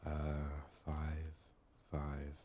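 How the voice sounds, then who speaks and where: A man speaking softly and sometimes whispering, muttering his thoughts aloud as he commentates a game for ASMR. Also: rumbling low, monotone, extremely slow, slight background noise. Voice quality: hushed, soft, sometimes slipping into whisper. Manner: muttering, thinking out loud. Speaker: gaming video host. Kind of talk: soft-spoken ASMR game playthrough commentary.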